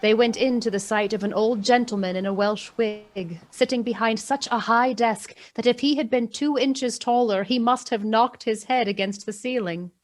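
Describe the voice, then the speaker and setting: A woman's voice: a little high, moderate pace, few pauses, lightly acted. A woman narrating a Victorian Christmas tale in a scripted storybook style for a radio play. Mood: descriptive.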